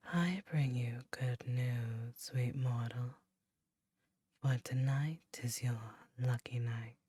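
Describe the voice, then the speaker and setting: An ancient demon queen, as a woman puts her on, whispering very low and dark right up against a close mic. She speaks slowly and intimately, teasing yet motherly. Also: no room sound, heavily acted. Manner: teasing, then gently nurturing. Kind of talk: ASMR audio roleplay.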